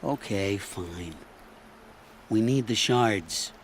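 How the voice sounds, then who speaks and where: A man's voice: lowish voice, very slow, slight background noise. A man playing a desperate, stubborn farmer turned hunter in a video game cutscene, defensive and insistent. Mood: grudging.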